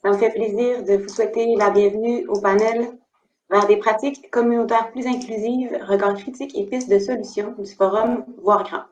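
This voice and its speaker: A woman's voice: mid-range voice, fairly even intonation, darkish tone. Québécois lady hosting a zoom panel, lots of euhs, echoey dull sound. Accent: Québécois French. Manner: measured, with frequent hesitations. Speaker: panel moderator. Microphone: video call.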